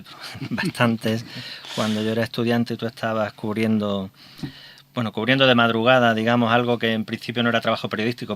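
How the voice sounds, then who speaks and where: Male radio host, low-pitched and bright, calm questioner. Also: slight background noise. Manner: conversational, measured questions. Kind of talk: radio interview. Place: local radio studio.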